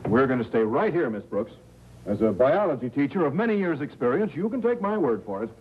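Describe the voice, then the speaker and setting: A man's voice: mid-range voice, sing-song, brisk, husky, phone-quality sound. A man playing an earnest, self-assured young biology teacher in a 1950s sitcom. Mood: confident, earnest. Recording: vintage television soundtrack.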